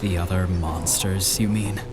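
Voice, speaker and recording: Fairly low male voice, actor as defensive young apprentice, skeptical, challenging, audio drama, music behind. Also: fairly even intonation, measured pace.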